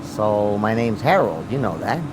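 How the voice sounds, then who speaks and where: A man's voice: on the low side, big pitch swings, unhurried. An actor playing a puzzled, good-natured male parking lot attendant with a colloquial way of talking in an audio drama. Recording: outdoor scene with traffic noise. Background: street and car ambience.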